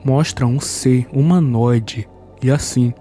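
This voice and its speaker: A man's voice: mid-range voice, slow pace, dark tone, soft background music. A man with a Brazilian Portuguese accent giving a scripted narration that recaps a horror series.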